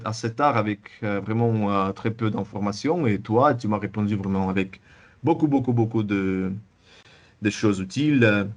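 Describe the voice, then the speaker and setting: Low-voiced young guy with a russian accent, halting french, lots of restarts and repeats. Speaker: interviewee, former student. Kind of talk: casual testimonial interview.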